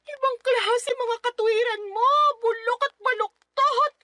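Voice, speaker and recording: A woman's voice: extremely high pitch, fairly even intonation, a little slow, sharp tone, no room sound, full character voice. An actress playing a stern mother who bluntly scolds her grown daughter in a radio drama. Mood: indignant. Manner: scolding, blunt, later teasing.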